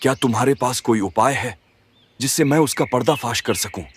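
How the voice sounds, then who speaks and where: Casting: male actor playing mild, regal old lion king, medium pitch, animated fable, faint outdoor noise. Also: a little fast, natural voice. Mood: grave. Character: aging lion king, trusting, dignified, mild. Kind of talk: Hindi animated moral story dialogue.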